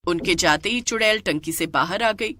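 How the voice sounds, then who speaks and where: A woman's voice: on the low side, moderate pace, sharp tone, no room sound, clean studio sound. A woman narrating a scripted story in a dramatic storytelling style.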